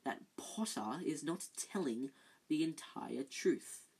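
A cold, sneering male schoolmaster speaks in slow, hushed tones, as a woman reads him out. The voice sits low and husky, drawing out each word with quiet menace. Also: expressive, heavily acted, poor audio.

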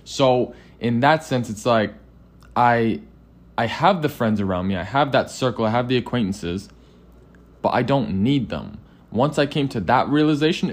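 A man speaking as a podcast guest, earnest and halting, full of restarts as he answers. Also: mid-range voice. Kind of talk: casual interview.

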